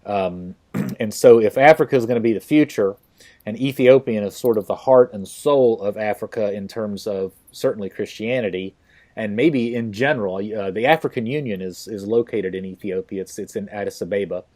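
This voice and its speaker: Low-voiced man hosting a podcast. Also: a little mellow. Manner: halting, many fillers and restarts. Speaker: podcast host. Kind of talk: casual interview talk.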